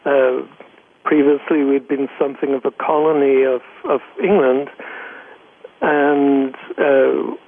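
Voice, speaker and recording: A man, a guest giving thoughtful, halting answers in an interview. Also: mid-range voice, fairly even intonation, breathy edge. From Northern Ireland. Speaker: guest, economist. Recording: tinny phone line.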